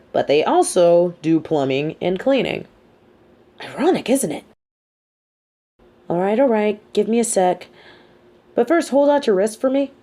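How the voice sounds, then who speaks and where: Smug, mellow female supervillain, acted by a woman. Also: a little high, pitched above the performer's own voice. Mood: exasperated. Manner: smug, articulate, sardonic. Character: female supervillain, adult, smug, mean but sympathetic captor. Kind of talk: dramatic audio roleplay monologue.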